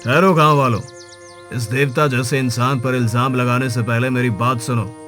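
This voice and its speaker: Man putting on a dignified, deep old merchant. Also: steady tone, moderate pace, fairly bright tone, faint music behind, lightly acted. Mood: commanding, solemn. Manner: solemn, fatherly. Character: grateful, dignified old male merchant traveller. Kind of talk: Hindi moral cartoon story acting.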